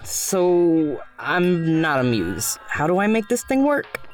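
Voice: medium pitch, very animated, slow, distant mic, soft background music. A woman playing a laid-back, slangy young customer in an audio drama, with a casual manner. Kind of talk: naturalistic audio drama dialogue.

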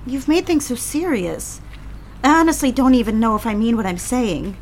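A woman's voice: a little high, expressive, moderate pace, a little hiss or hum. A woman playing a romantic, conflicted daughter in her twenties in an audio drama, candid and a little dramatic. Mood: torn. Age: 20s.

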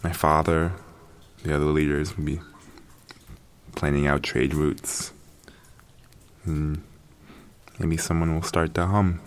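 A man playing a proud, bossy castaway in an audio drama, speaking haltingly in a haughty, grudging way to his companions. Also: very deep voice, slight background noise, exaggerated voice. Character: proud stranded male castaway, bossy, grudging, talking to creatures. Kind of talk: dramatic audio fiction monologue, survival log.

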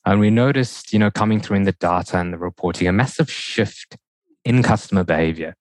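Low-voiced man, mixed South African-New Zealand accent. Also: brisk, no echo. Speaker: podcast guest, data and analytics executive. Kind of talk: conversational interview answers.

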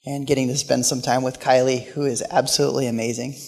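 A man preaching as a guest pastor with a quiet voice, telling stories with humorous asides in a church. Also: mid-range voice, little pitch movement, quick pace, creaky. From Portland, Oregon. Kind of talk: sermon. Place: church sanctuary. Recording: live church hall with long reverb.

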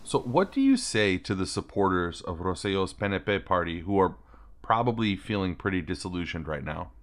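Deep-voiced male podcast co-host. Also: sing-song, fairly quick. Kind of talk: mock news interview and casual chat.

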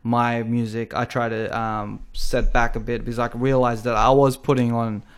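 Male musician and podcast co-host, casual, halting delivery. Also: medium pitch, flat delivery, clear, resonant tone. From Australia. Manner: hesitant, many fillers. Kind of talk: casual chat.

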